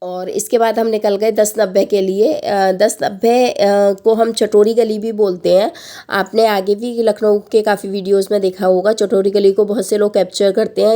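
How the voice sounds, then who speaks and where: Woman in her thirties, family vlogger, sore husky throat, middle-pitched voice, chatty narration that pauses a lot while reading off prices. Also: mellow. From Lucknow, India. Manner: chatty, lists prices with frequent hesitant pauses. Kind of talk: voice-over narration of a family outing.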